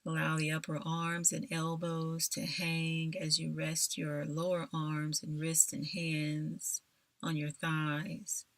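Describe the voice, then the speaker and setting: A woman leading a scripted guided meditation in a soothing, deliberate manner, leaving long pauses between phrases. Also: sits low, completely flat delivery, no room sound.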